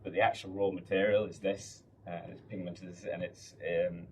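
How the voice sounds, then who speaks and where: A man giving an informal technical product presentation as a design lead, haltingly, often repeating words. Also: low voice, little pitch movement, darkish tone. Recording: distant mic, faint room noise.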